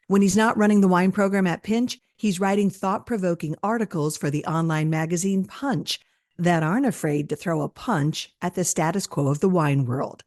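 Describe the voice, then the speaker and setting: A woman's voice: fairly low pitch, darkish tone, no echo, clean studio sound. A woman co-hosting a podcast, reading a scripted welcome and then asking her guest questions. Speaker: podcast co-host.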